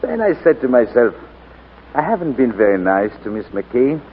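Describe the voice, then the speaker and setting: A suave, teasing gentleman played by an actor in a 1940s radio romance. His medium-pitched voice swings very widely in intonation, over a tinny broadcast. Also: sing-song, normal speed, slight background noise, lightly acted. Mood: playful, courteous. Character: suave, teasing gentleman visiting an old love.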